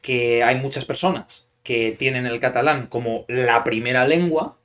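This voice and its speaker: A young man from hosts a relaxed chat. His voice has an ordinary, mid male pitch. He asks questions in a friendly manner, often pausing on fillers as he thinks. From Spain. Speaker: podcast host, Spanish teacher. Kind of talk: casual interview chat.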